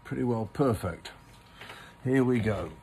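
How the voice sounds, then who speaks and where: A man narrates a children's book in a deliberate, theatrical way. His delivery is slow and gentle with a gravelly creak, and there is some noise in the background. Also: medium pitch. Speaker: storyteller reading a children's book aloud. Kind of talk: expressive storybook narration.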